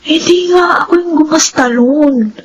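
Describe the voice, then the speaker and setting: A woman's voice: high-pitched, normal speed, muffled recording, a little hiss or hum, close to an everyday voice. A young woman with a Filipino accent playing a troubled, defensive daughter in a radio drama. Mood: contrite, defensive.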